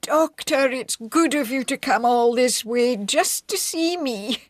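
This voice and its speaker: A frail Scottish spinster in a radio drama. Her voice is high and very unhurried. She sounds genteel and plaintive, with a stubborn streak. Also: no room sound, studio quality. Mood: polite, feeble. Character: frail, polite but stubborn ailing spinster sister.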